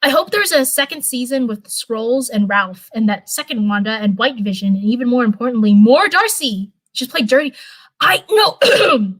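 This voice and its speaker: A young woman with a fairly high voice rambles through a relaxed livestream chat, piling up fillers and restarts as she talks to her viewers. Her voice is turning hoarse after hours of talking, and the sound is dry and close with no room echo. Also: moderate pace.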